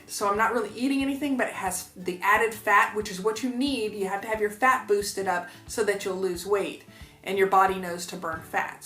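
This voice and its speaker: Bright chatty woman in her 50s vlogging, kinda high voice, roomy echo w faint music. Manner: chatty, upbeat, many fillers and asides. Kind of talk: casual talk to camera.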